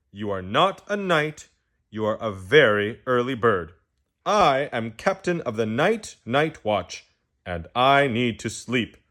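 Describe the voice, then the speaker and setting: A man's voice: on the low side, fairly bright tone. A man voicing a sleepy, grumpy male owl knight who speaks in a weary, curt way.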